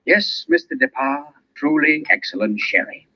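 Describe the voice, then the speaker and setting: An actor playing an urbane, pompous older mystery writer turned sleuth in an old radio drama, speaking theatrically and deliberately. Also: male voice, on the high side, wildly swooping pitch, gravelly, very noisy, heavily acted. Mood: confident, accusing. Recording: muffled vintage radio recording.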